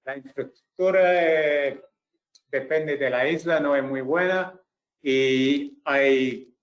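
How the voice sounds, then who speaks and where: A man's voice: mid-range voice, low-quality recording. A man who is not a native speaker, presenting as a webinar panelist, hesitant and searching for words. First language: not Spanish. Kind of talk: halting expert presentation.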